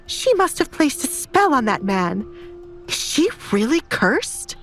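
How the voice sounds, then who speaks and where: A haughty noble stepmother in a romance storybook, acted by a woman reader. She scolds in a high, indignant voice and sounds sharp and scheming, with music underneath. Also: very animated, slow, exaggerated voice. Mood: aghast.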